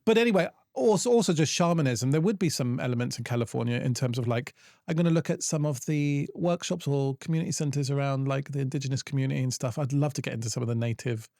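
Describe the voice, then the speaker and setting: A man's voice: medium pitch, fast talker, creaky, a little mellow, dry recording, studio quality. A man co-hosting a casual chat podcast, playful and teasing, swearing freely. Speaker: podcast co-host.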